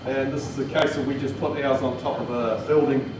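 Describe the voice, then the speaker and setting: A man with a New Zealand accent presents technical training in an informal, plain-spoken way. He is picked up from far off in a very reverberant room, with clear noise behind him. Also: medium pitch, steady tone, fairly quick. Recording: echoey room, distant mic, noisy.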